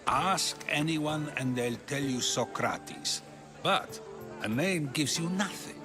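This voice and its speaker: Musing Greek philosopher, questioning, eloquent, lively intonation, game acting, music behind. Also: male voice, fairly high pitch, takes it slowly. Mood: musing, probing.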